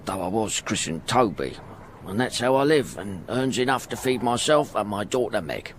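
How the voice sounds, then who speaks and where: Rambling poor old errand porter. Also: male voice, fairly low pitch, a bit bright, a little hiss or hum. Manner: rambling, folksy, ungrammatical working-class speech. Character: poor, humble ageing errand porter, devoted father. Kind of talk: radio drama acting, first-person monologue.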